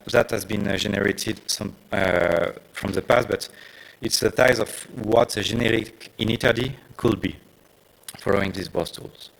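A man with a French accent gives a technical conference talk, his voice sitting on the low side with a gravelly, creaky edge. He speaks haltingly, filling gaps with frequent hesitations, and his phrasing is non-native. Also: a bit bright, rough recording. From France. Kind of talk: technical presentation.

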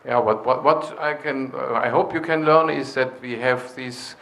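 A man's voice: mid-range voice, steady tone, normal speed, creaky, fairly bright tone, poor audio. A man moderating a casual workshop discussion, talking with fillers and restarts. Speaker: session moderator.

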